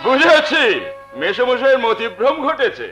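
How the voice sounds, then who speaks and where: An animated, high-voiced arrogant villain. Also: male voice, normal speed, faint music behind. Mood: affronted. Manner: affronted, swaggering. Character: arrogant, moneyed young villain plotting revenge. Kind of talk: melodramatic Bengali folk theatre acting.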